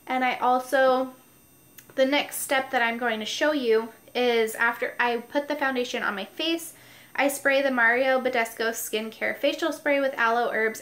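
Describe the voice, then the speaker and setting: A woman's voice: on the high side, a bit bright. Stuffy-nosed woman chatting through makeup. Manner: chatty, explains each step. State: stuffy nose. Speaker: beauty vlogger. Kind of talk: casual makeup tutorial. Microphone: noisy mic.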